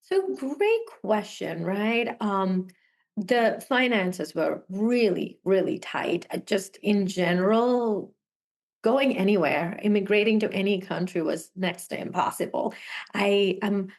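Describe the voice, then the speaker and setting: Young sri lankan-born woman, guest on a video call, earnest and chatty, laughs a lot, mid-pitched. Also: expressive, slow, darkish tone. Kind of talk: casual interview conversation.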